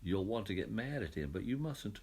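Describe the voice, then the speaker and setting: Storybook God, played by a male reader: calm gentle authority, lively intonation, slightly rough low voice, explaining patiently. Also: brisk, full character voice. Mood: thoughtful, firm. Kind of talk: children's Bible storybook reading.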